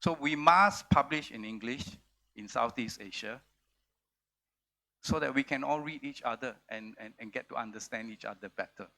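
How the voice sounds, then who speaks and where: Bright-voiced Singaporean publisher, earnest. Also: male voice, medium pitch, normal speed, poor audio. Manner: earnest, emphatic, repeats words. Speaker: publisher on a panel. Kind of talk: panel discussion. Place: festival stage.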